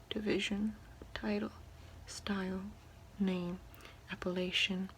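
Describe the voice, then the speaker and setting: Woman doing asmr, whispery word by word reading, real slow, some room noise behind her. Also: medium pitch, poor audio. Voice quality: soft, breathy whisper. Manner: slow, halting, sounding out words one by one. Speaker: ASMR creator reading a French dictionary.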